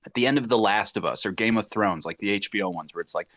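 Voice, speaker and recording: A man's voice: lowish voice, fast, fairly bright tone, no echo, clean studio sound. A man bantering casually about films on a podcast, riffing with mock quotes. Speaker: podcast guest.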